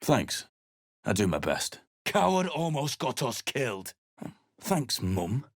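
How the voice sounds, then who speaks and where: A middle-aged man voicing a surly, weary male mercenary adventurer in a video game, talking in a gruff, blunt and sarcastic way. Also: mid-range voice, very animated, slow, no room sound, studio quality, exaggerated voice. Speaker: game character.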